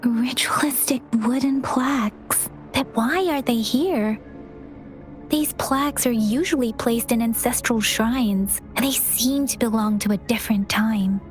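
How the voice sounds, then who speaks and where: A woman voicing an earnest, perceptive young investigator in a video game, cautious and compassionate, with a softly breathy, measured delivery. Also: a little high, lightly acted. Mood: thoughtful, hushed. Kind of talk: scripted game dialogue acting. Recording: game music behind the voice.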